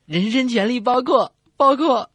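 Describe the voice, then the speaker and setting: Man putting on a high, naive schoolboy. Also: a little slow, a bit bright, breathy edge, dry recording, full character voice. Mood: hesitant, eager. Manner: stammering, repeating words. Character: naive young schoolboy, hesitant and eager to answer.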